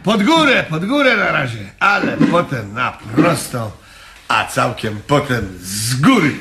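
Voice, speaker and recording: A man's voice: on the high side, very animated, unhurried, roomy sound, background noise. An actor in a Polish radio comedy playing a harried, chatty male shop owner, eager, wheedling and fussy. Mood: harried, chummy.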